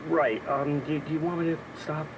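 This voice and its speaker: An actor playing a gentle father who reads aloud calmly, in a scripted radio ad. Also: a little high, moderate pace, natural voice. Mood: sheepish. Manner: calm storytelling read, sheepish asides. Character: gentle father reading his daughter a manual. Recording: noisy background hiss.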